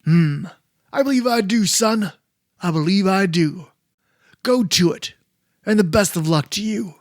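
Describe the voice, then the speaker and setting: A fatherly older army commander, played by a man, in an old radio serial. His voice is high for a man and sounds close and dry, with gruff concern. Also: measured pace, no echo. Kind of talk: 1940s-style radio serial acting.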